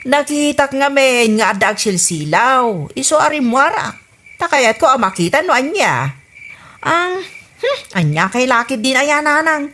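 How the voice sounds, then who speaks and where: An exasperated, nosy Ilocano woman played by an actress from a comedy radio drama. Her voice sits high and swings widely up and down as she frets about the neighbourhood feud. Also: big pitch swings, a little slow, sharp tone, heavily acted.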